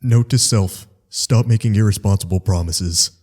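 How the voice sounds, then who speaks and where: A man's voice: lowish voice, moderate pace, a little mellow, lightly acted. A man voicing an easygoing monster in a cartoon dub, casual and dryly joking. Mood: rueful. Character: laid-back, wry male monster family friend.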